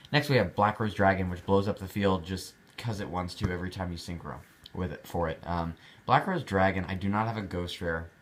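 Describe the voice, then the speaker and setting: Young adult man, deep low voice, easygoing hobbyist vlog chat, rambling delivery. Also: a little fast. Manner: chatty, rambling, with many fillers and restarts. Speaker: card collector showing his cards.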